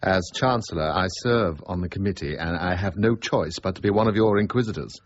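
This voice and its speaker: An actor playing an urbane, wry aristocratic politician in a period radio drama, courteous, dry and measured. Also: male voice, sits low. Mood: grave. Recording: dull, muffled radio recording.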